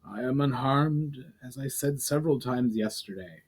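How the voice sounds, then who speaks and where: A man's voice: medium pitch, darkish tone, husky, natural voice. Man acting a grave, commanding fantasy general. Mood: calm reassurance. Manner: measured, instructive, commanding. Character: seasoned middle-aged male army general, grave and patient. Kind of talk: dramatic fantasy story reading.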